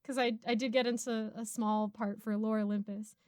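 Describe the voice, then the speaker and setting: A woman's voice: on the high side, little pitch movement, moderate pace, a little mellow, clean tone, dry recording, studio quality. A giggly, chatty woman with an American accent, casually answering fan questions as host. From United States. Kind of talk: casual Q&A chat.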